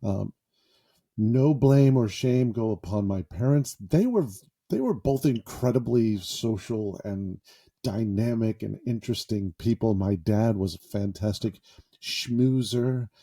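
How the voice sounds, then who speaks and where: A man's voice: fairly low pitch, unhurried, warm tone, breathy edge. A man hosting a podcast, joking about himself in a loose, improvised monologue from a small foam-padded closet. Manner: self-deprecating and jokey. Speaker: podcast host.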